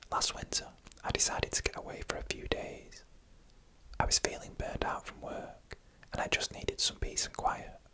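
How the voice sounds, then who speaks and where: A narrator telling scripted first-person eerie stories in a breathy, unvoiced ASMR whisper, in short hushed phrases with pauses.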